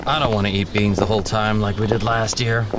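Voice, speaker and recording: A man's voice: fairly low pitch, steady tone, fast talker, noisy background, lightly acted. An actor playing a joking father in his fifties on a fishing trip, with an easygoing, teasing manner. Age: 50s. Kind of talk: audio drama acting.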